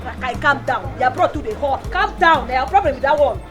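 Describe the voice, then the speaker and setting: A woman's voice: sits high, expressive, a little fast, a crowd in the background. A woman with a Nigerian accent playing a hot-headed, defiant, street-tough daughter in a film drama. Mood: dismissive. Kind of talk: film drama dialogue in Pidgin English. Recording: music behind the voice.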